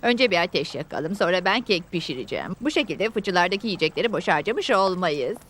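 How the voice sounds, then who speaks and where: A woman's voice: lowish voice, lively intonation, brisk, a bit bright. A woman playing a bossy, thrifty and determined pioneer wife in a dubbed cartoon, speaking insistently and decisively. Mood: eager, decisive. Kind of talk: cartoon dub acting. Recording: music clearly behind.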